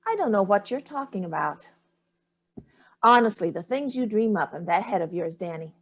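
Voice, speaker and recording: Actress doing a snooty older mom in a play reading, breezy, evasive, kinda theatrical and barbed. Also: female voice, mid-range voice, expressive, moderate pace. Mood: breezy, defensive.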